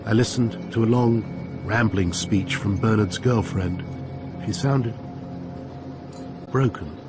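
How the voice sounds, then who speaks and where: Composed, inquisitive narrator over music. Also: male voice, lowish voice, takes it slowly. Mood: sombre narration. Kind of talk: radio drama acting and narration.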